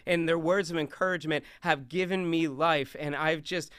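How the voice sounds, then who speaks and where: A young man with a big, loud voice giving an animated talk on scripture from a stage, moving around as he speaks. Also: fairly high pitch, moderate pace, clean tone, no room sound, studio quality. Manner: animated, talks with hands, moves around on stage. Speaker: youth speaker.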